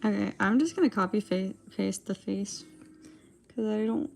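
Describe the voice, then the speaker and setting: A young woman with a raspy, dry-throated voice, chatting casually and rambling with lots of fillers as she draws. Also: medium pitch, normal speed, darkish tone, faint music behind. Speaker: digital artist streamer. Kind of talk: casual chat while drawing.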